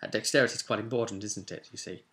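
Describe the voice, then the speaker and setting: A man's voice: on the low side, rapid-fire, fairly bright tone. A man in his twenties giving an informal, instructive tutorial, talking conversationally and thinking aloud as he works through steps in a room at home. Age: 20s. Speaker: tabletop roleplaying tutorial host. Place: small home room, indoors.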